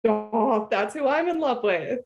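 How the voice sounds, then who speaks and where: A woman's voice: a little high, lively intonation, measured pace, creaky, darkish tone, dull audio. An Australian woman talking casually as a podcast guest over a video call, full of "like" fillers and easy laughter. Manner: chatty, frequent "like" fillers, laughs easily. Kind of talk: casual conversational interview.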